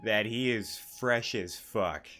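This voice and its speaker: A man's voice: lowish voice, takes it slowly, sharp tone. A man giving casual, rambling gameplay commentary as a streamer, repeating words and swearing casually. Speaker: gaming streamer. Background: game music.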